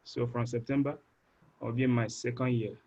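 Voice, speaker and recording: A Nigerian man and master's student introducing himself briskly with a light joke. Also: medium pitch, steady tone, a little mellow.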